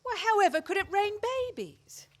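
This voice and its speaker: A woman playing a fretful, bewildered gossip in a farcical radio play, full of drawn-out exclamations, on a noisy recording. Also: extremely high pitch, very animated, a bit bright, exaggerated voice. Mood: fretful, puzzled. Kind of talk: stage farce performed as radio play.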